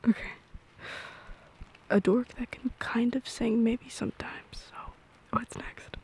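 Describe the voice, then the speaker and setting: A woman doing an intimate girlfriend roleplay in ASMR style, soft and breathy, often dropping into a whisper, with a playful, dorky manner. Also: fairly high pitch, steady tone, slow.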